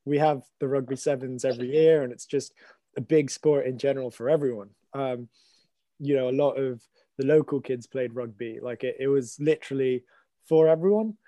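A man's voice: medium pitch, normal speed, warm tone, dry recording. A young man from Hong Kong hosting a podcast, earnest and loosely phrased. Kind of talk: casual discussion.

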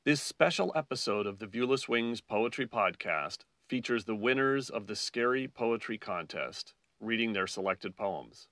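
A man hosting a poetry podcast, reading a scripted introduction in a measured, announcer-like way. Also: fairly low pitch, expressive, fairly bright tone, no room sound, studio quality. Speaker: podcast host.

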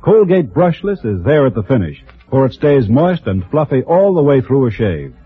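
A man's voice: medium pitch, very animated, normal speed, slightly rough. A man working as a radio announcer, reading a commercial in 1940s style. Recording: thin, muffled old radio broadcast.

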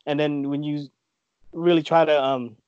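A middle-aged American man with a cold, chatting informally and slangily as an interview guest, rambling a little and clearing his throat. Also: fairly high pitch, little pitch movement, normal speed, darkish tone, dry recording, dull audio. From United States (Tacoma, Washington). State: under the weather with a cough, clearing throat. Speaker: podcast guest, community activist. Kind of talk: casual conversational interview.

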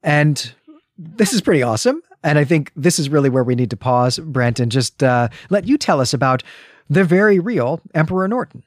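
A man's voice: fairly high pitch, animated, normal speed, dry recording, studio quality. A man co-hosting a literary podcast, talking through a story in an articulate, analytical, conversational way. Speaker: podcast co-host. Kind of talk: conversational literary commentary and plot recap.

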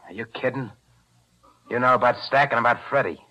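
A man's voice: medium pitch, brisk, pushed and bright, close to an everyday voice. An actor playing a tough male crook who turns cold killer, in a 1940s radio drama with streetwise slang. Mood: menacing. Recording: muffled old radio broadcast.

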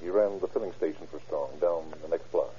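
A man plays a matter-of-fact official in a vintage radio crime drama. The voice is low and very dark, plainly explanatory. The recording is dull and aged. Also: a little fast, natural voice. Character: matter-of-fact man reporting a forged-check case. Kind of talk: old-time radio drama acting.

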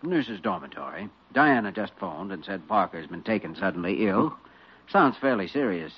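An actor playing a calm, kindly doctor with a measured, dryly teasing manner in an old-time radio drama. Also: male voice, fairly low pitch, creaky, breathy edge, exaggerated voice. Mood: serious. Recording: dull, noisy vintage radio recording.

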